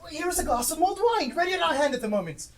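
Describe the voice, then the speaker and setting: A woman on stage playing a cheerful, forgiving young gentleman in a live amateur play, picked up by a distant mic. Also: high-pitched, sing-song, very fast. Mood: merry.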